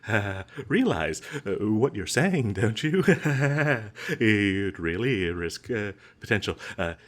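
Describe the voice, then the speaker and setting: A man playing a nervous captured adventurer in a comedic fantasy roleplay, polite and halting, trying to bluff and placate. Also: on the low side, big pitch swings, full character voice.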